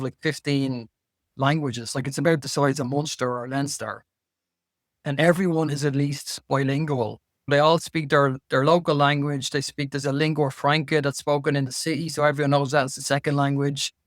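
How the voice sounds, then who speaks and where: A man's voice: on the high side, no room sound, studio quality. A man in his thirties with a Dublin Irish accent, talking casually in an interview and often restarting his sentences. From Ireland. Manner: rambling with many restarts and fillers. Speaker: guest, Irish language campaigner.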